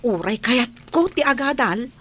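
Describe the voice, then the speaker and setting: An actress playing a humble, chatty young housemaid in a radio drama, with an Ilocano accent. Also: female voice, a little high, expressive, normal speed, noisy background, natural voice. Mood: humble, wistful.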